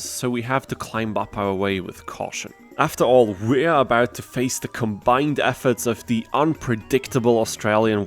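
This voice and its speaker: A man narrating gameplay from a script as a video game YouTuber, in a wry, sarcastic manner. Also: on the low side. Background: music.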